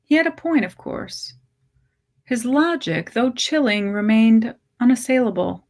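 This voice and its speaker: A woman reading literary fiction aloud as a story narrator, with measured, deliberate phrasing. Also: on the high side, expressive. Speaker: story reader.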